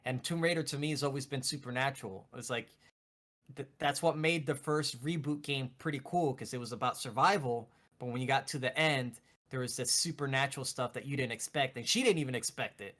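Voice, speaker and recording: A man chatting casually about games and movies as a podcast co-host, in an excitable, emphatic, slangy way. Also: mid-range voice, a little fast. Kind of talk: casual video game and movie chat.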